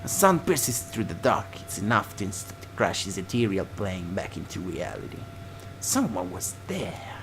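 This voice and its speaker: A man hosting a game playthrough, reading a visual novel aloud like a dramatic storyteller and sometimes dropping to a breathy hush. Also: low voice, very animated, normal speed, background noise. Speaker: let's play host. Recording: music playing loudly behind the voice. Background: game music.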